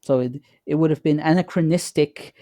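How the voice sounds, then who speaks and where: A man co-hosting a podcast, speaking casually in a measured, explanatory way as he analyses and asks questions. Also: fairly high pitch, mellow, dry recording, studio quality. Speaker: podcast co-host.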